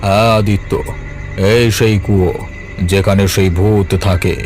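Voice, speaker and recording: Scheming cartoon grandfather, male actor, lowish pitch, sly and vengeful beneath a kindly front, music underneath. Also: steady tone, moderate pace, darkish tone, natural voice. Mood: ominous. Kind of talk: cartoon story voice acting.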